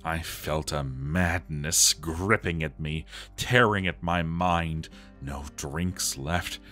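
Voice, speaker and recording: A man's voice: very deep voice, takes it slowly, pushed and bright, faint music behind, heavily acted. A man voicing a despairing dwarf armorer who is slipping into madness, reading his journal in a grave, theatrical way. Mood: desperate madness.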